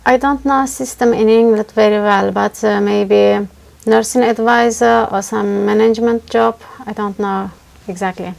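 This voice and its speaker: Gravelly-voiced Turkish woman, hesitant. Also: medium pitch, fairly even intonation, moderate pace, darkish tone. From Turkey. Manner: hesitant, frequent uh fillers, simple grammar. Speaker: test candidate. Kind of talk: spoken exam answers.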